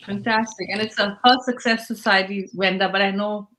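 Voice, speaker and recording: A woman's voice: medium pitch, steady tone, a little fast, a bit bright, a little hiss or hum. A woman hosting a casual livestream over a video call, upbeat and encouraging, often restarting her sentences. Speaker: host of a livestreamed hackathon session.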